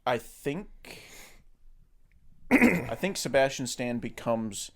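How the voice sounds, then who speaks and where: A man co-hosting a film podcast, chatting casually with dry, deadpan teasing. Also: mid-range voice, slow pace. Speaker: podcast co-host. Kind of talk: casual chat.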